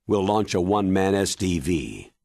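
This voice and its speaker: An actor playing a stern military commander briefing an agent in a video game, grave and measured in manner. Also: male voice, low voice, very animated, a little mellow, no echo, exaggerated voice. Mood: instructive. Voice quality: husky, weighty. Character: stern, authoritative older military commander giving mission briefing. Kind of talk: dramatic video game cutscene acting.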